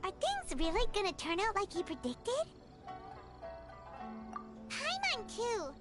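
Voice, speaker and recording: A woman voicing a small, excitable, childlike fairy companion with a squeaky voice in an anime video game. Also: slow, music in the background, full character voice. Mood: doubtful, curious.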